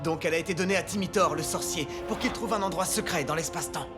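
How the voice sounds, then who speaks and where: A man's voice: fairly high pitch, fast talker, music in the background, natural voice. A man playing an eager young inventor in a children's cartoon, explaining things with dramatic enthusiasm. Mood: earnest. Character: eager young male inventor, proud, earnest showman. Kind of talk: animated children's cartoon dubbing.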